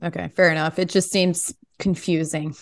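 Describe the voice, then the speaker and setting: A woman's voice: lowish voice, little pitch movement, moderate pace, no room sound, clean studio sound. A woman hosting a podcast interview, asking questions in a casual, informal, colloquial way. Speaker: podcast host.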